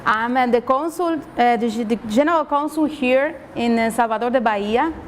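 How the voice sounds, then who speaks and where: A woman's voice: fairly high pitch, normal speed, a little hiss or hum. A woman from Cuba, speaking at a seminar in hesitant English full of fillers and restarts. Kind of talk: halting public remarks. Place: conference hall.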